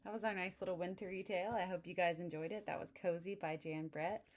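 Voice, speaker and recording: Friendly woman, slightly low voice, reading a picture book aloud with asides for kids. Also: steady tone, a little fast. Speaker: children's book reader.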